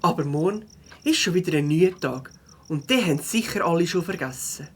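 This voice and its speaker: A woman's voice: on the low side, animated, a little fast, husky. A woman voicing a kind, cheerful young beaver schoolboy in a children's audio drama, with a Swiss German accent. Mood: reflective. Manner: comforting, upbeat.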